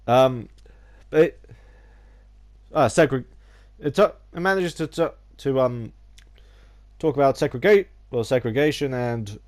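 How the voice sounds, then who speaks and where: A man's voice: mid-range voice, slow, long pauses. A man with a cold and a slightly nasal voice, talking casually about games in a rambling way with many restarts. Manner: theatrical opening greeting. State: has a cold, a little nasal. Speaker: gaming YouTuber. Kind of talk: casual video essay.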